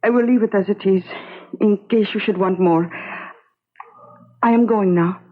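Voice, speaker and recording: An actress playing a wronged, grieving young wife in a radio drama, with a Spanish accent and halting, broken English. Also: female voice, medium pitch, close to an everyday voice. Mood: subdued resignation. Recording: dull, lo-fi radio drama recording.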